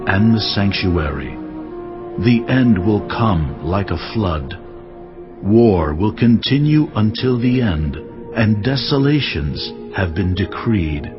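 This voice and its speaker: A man playing a solemn, authoritative angel delivering prophecy in a dramatized audio Bible, speaking in a measured, deliberate way. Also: fairly low pitch. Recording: slightly dull audio, music underneath. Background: clear background music.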